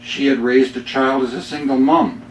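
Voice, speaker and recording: A middle-aged Canadian man reading his own poems live, with measured, deliberate phrasing and dramatic pauses. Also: medium pitch, fairly even intonation. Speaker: poet reading own work. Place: bookstore reading before a small audience. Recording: roomy, distant mic, faint noise.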